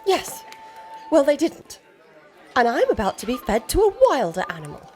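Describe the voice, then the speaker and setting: A woman's voice: high voice, big pitch swings, moderate pace, a little mellow, a faint crowd. An actress playing a sardonic, imperious young noblewoman, a reluctant bride in a comic period radio play. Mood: exasperated sarcasm. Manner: imperious, dry sarcasm, mock-archaic speech. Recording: studio radio play with music.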